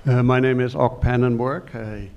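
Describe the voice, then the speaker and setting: An older retired man from the Netherlands with a Dutch accent, asking the panel questions from the floor in formal, measured wording. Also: mid-range voice, steady tone, muffled recording, a little hiss or hum. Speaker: audience member asking a question.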